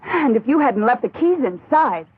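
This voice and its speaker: An actress playing a steady, companionable young traveller in a dubbed vintage horror film, lively and teasing, with a husky voice. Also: female voice, fairly high pitch, normal speed. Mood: wry. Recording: muffled tinny old film soundtrack.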